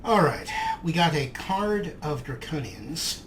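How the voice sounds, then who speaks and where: A man's voice: a little high, sing-song, takes it slowly, fairly bright tone. A man hosting a let's play with casual gameplay commentary. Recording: clear background noise from game.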